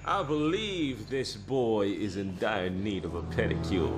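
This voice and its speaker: An actor playing a cruel male torturer who taunts his victim in a slow, drawling, menacing voice. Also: lowish voice, animated, reverberant. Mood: mocking. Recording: film scene under loud music.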